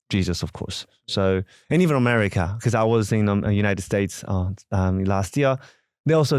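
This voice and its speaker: Korean-accented male priest, interview, lowish voice, halting delivery, dry sound. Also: darkish tone, clean tone, no echo. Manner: halting, searching for words with frequent fillers. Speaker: parish priest.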